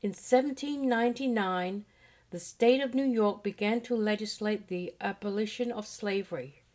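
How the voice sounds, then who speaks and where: A woman hosting a weekly history lesson, reading a biography aloud at a measured pace. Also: mid-range voice, a little mellow.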